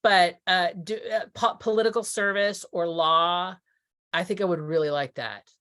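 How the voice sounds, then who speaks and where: A woman's voice: fairly low pitch, moderate pace, fairly bright tone. A middle-aged woman from Texas giving casual interview answers, thinking aloud and correcting herself as she goes. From Texas, United States. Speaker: interview guest, theatre producer.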